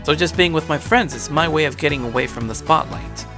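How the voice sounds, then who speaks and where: A man's voice: on the high side, a little fast. A man narrating a first-person story in a casual, conversational way, dropping wry asides as he goes. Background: background music.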